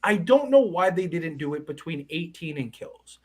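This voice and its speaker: Casting note: thirtyish man with a high voice, animated live-stream co-host, rambling and restarting. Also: moderate pace. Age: 30s. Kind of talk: live-stream horror movie chat.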